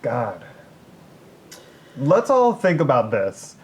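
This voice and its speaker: Animated male podcast co-host, very lively pitch. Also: slow. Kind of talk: casual, joking podcast banter.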